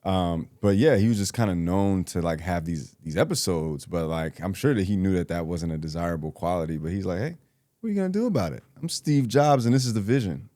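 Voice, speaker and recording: A man's voice: expressive, a little fast, dark tone, no echo. A young man co-hosting a podcast, chatting casually in a laid-back, joking way with lots of fillers. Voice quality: very deep voice. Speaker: podcast co-host. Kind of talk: casual chat.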